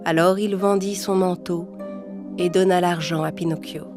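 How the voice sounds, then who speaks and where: Calm woman reading a fairy tale. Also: lowish voice, normal speed. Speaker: narrator. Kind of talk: scripted storybook narration. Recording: music playing underneath.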